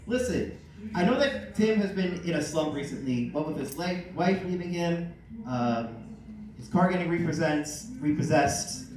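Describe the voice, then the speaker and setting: A man voicing a chatty female TV producer leaving a gossipy phone message in a comic story. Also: high voice. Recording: echoey room, distant mic, faint noise.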